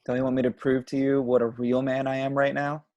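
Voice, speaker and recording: A man's voice: mid-range voice, level tone, a little fast, a little mellow, dry recording, highs cut off, lightly acted. A man playing a boyish, sarcastic husband of twenty-nine, with a casual manner, in a table read of a film script. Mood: bantering.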